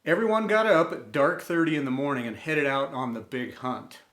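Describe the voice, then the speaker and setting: A man narrating a scripted personal story in an inspirational style, with a measured delivery and deliberate pauses. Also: medium pitch, a bit bright. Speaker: storyteller and host. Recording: roomy, echoing room sound.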